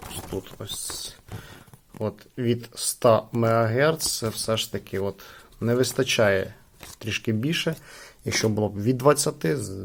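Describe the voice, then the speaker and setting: Gravelly-voiced man reviewing gadgets. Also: fairly low pitch, a little hiss or hum. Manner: informal, addresses viewers as friends. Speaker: gadget unboxing channel host. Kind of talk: casual product review to camera.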